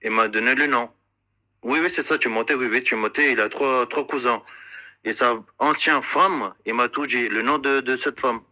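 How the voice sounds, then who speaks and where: Insistent man with a very bright voice. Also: mid-range voice. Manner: insistent, repetitive; halting, searching for words. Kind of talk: unscripted accusatory complaint in a conversation.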